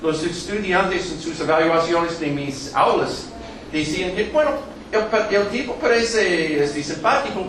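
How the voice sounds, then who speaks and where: A middle-aged New Yorker, a native English speaker with a strong American English accent, giving a lecture in a hall, joking often and pausing on fillers. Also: male voice, sits high, expressive, a bit bright. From New York, United States. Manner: halting, many fillers, joking asides. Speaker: guest lecturer. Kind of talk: public lecture with jokes. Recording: echoey hall, distant mic, background noise.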